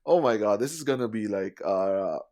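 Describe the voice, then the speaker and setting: A man's voice: on the low side, animated, moderate pace, dark tone. A man hosting a casual podcast chat, talking with lots of fillers and laughing often. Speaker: podcast host.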